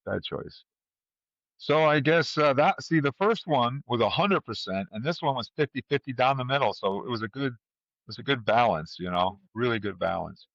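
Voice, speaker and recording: A man's voice: medium pitch, expressive, moderate pace, gravelly, a bit bright, no echo. A man hosting a casual trivia chat, talking conversationally to his panel over a slightly dull microphone. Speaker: podcast host running a trivia quiz.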